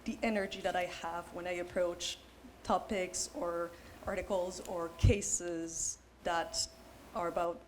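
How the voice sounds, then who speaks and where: Young female panelist, lower voice, lively casual delivery, faint hiss. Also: low-quality recording. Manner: animated, casual, fillers like "like". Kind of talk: panel discussion. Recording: faint background noise.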